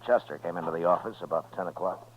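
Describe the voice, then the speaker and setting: Calm, laconic US marshal, male actor, very low voice, American, vintage radio western, dull old recording. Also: lightly acted. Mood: narrating. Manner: laconic, measured, understated. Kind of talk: 1950s radio western acting and narration.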